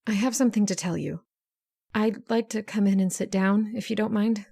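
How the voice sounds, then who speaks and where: A woman's voice: medium pitch, steady tone, creaky, breathy edge. An actress playing a scheming, domineering woman in old-time radio suspense style. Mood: feigned remorse. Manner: halting when apologetic, sharp and commanding when plotting. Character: scheming, domineering woman plotting murder, feigning meek remorse.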